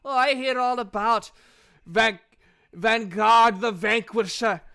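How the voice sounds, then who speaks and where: A man's voice: very high-pitched, slow, creaky, pushed and bright, clean tone, no echo, clean studio sound, voice pushed up, heavily acted. A man voicing a bitter, cynical, foul-mouthed guild leader who drinks and rants scornfully in a tabletop game. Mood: angry scorn. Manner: ranting, scornful.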